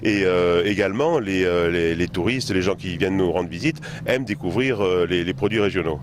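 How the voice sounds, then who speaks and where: A man from France, a chef, answering interview questions in a hesitant way, often pausing and repeating words. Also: low-pitched, noisy background. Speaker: chef and bistro owner.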